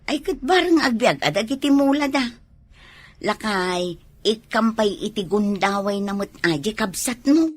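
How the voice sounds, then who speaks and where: A woman's voice: mid-range voice, expressive, a little slow, no echo. A woman with a Filipino Ilocano accent playing a teasing, gossipy village wife in an amateur comedy drama.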